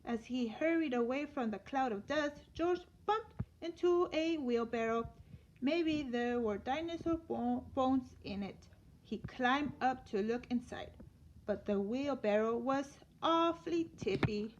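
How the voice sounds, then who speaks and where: A woman teacher reading a children's story aloud in an expressive storytelling manner. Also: high voice, a little slow. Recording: dull lo-fi sound with light noise.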